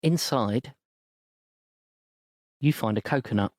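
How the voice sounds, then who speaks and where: A man narrating a tabletop game as game master, in a measured, playful way. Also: mid-range voice, a little mellow, no echo, clean studio sound.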